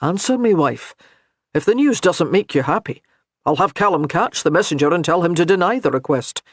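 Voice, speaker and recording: A woman voicing a stern grown male clan laird, measured and warning, in a husky voice. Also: on the low side, animated, no echo, studio quality.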